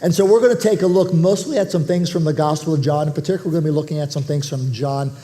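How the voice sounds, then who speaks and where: A man preaching a sermon as pastor, nasal from a head cold, talking in a conversational way with restarts and throat clearing. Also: fairly high pitch, fast, dark tone. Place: church auditorium.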